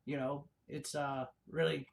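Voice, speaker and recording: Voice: medium pitch, little pitch movement, measured pace, gravelly. A performer voicing a gloating reptilian mad scientist in human disguise, hosting a comic livestream in a pompous, rambling, villainous manner. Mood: rambling, boastful. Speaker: host of a livestream show. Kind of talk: comic in-character villain monologue.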